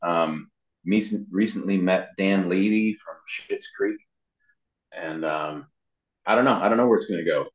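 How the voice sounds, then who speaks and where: A low-pitched American man in his fifties talks casually in an interview. His delivery wanders along in a conversational way. The recording is slightly dull and carries a clear room echo. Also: a little slow. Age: 50s. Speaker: interview guest, web marketing professional. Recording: muffled lo-fi sound in echoey room.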